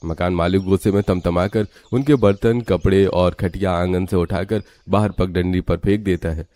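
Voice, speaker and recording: A man's voice: sits low, steady tone, moderate pace, warm tone. A man narrating a scripted village story for a cartoon.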